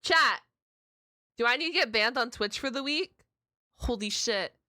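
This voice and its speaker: A woman with a fairly high, crisp voice gives excited reaction commentary. She is playful and slangy, with bursts of exclamation, and sounds bright and close in a dry recording. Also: unhurried, dry recording. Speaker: reaction video host.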